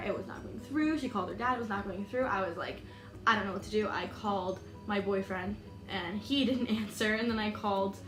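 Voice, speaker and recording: Brief: American woman, 20, vlogger, rambling nervous-laugh delivery; echoey room, music bed. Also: medium pitch. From United States. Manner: chatty, many "like"s and "um"s, laughs nervously. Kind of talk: storytime vlog.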